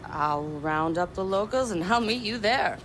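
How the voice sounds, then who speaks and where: A woman plays a blunt, level-headed young hacker in a video game cutscene. She is casual and direct at a medium pitch, with clear noise behind her. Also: animated, a little slow, lightly acted. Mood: matter-of-fact. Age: young adult.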